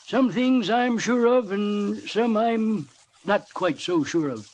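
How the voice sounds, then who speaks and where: An actor playing a gruff, plucky old small-town telegrapher with folksy, clipped speech in an old-time radio drama. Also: male voice, high-pitched, slow pace, darkish tone. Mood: gruff, guarded. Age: older. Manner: folksy rural idiom, clipped answers. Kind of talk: 1940s radio drama acting. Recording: old radio recording, faint noise.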